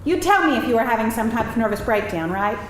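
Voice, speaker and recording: Woman playing an exasperated wife, high and animated. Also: quick pace, close to an everyday voice. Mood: incredulous. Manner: incredulous, exasperated. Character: exasperated, skeptical working wife on phone. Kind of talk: screenplay table read. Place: live event room before an audience. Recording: very echoey live room, clear noise.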